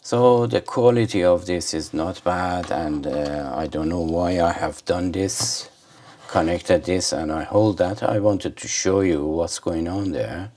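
A man's voice: deep voice, unhurried, darkish tone. A man giving a casual hands-on product demonstration, rambling and muttering to himself as he goes. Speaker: gadget reviewer. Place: indoors at a desk.

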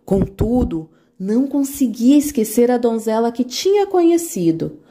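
A woman narrating a children's fairy tale in an expressive, animated way. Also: fairly high pitch, unhurried. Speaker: storyteller.

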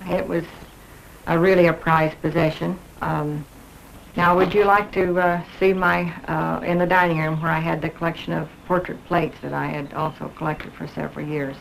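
A woman in her fifties giving personal testimony in a halting, plain-spoken way, as a homeowner showing people around. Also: low-pitched, flat delivery, gravelly. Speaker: fire survivor, homeowner. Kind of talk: personal testimony, walking tour. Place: burned-out rooms inside a house. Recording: noisy old educational film soundtrack.

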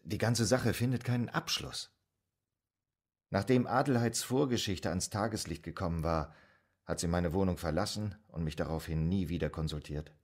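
An actor in a German radio drama playing an earnest, troubled male psychoanalyst in 1920s Vienna, speaking in a measured way. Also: sits low.

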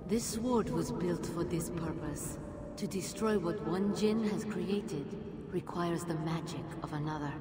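Lady voicing regal spirit woman in a fantasy game, formal n solemn, echoey hall, loud noise behind. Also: lowish voice, unhurried, close to an everyday voice. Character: solemn, regal female djinn spirit guide. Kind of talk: dramatic video game acting. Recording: echoing hall, heavy background noise.

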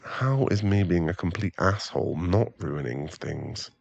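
A man playing a remorseful, tender boyfriend and expectant father in an intimate ASMR roleplay, speaking softly in halting, stammered phrases. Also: deep voice, expressive, creaky, no room sound, lo-fi sound, exaggerated voice. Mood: remorseful, earnest. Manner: halting, stammering, soft-spoken apology.

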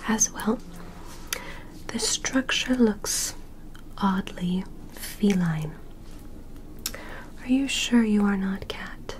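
A woman voicing a gentle, curious alien medical examiner in an ASMR roleplay, in a soft, breathy whisper with deliberate short instructions. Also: mid-range voice, poor audio. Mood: curious, pleased. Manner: slow, deliberate, short instructions with simple praise. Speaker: ASMR performer playing an examiner.

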